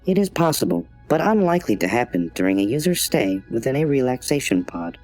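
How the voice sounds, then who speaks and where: A man's voice: mid-range voice, very animated, fairly quick, a little mellow, faint music behind, close to an everyday voice. A man voicing a polite but obtuse customer-service AI in an audio drama, formal, scripted and impassive. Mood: officious. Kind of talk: automated assistant in audio drama.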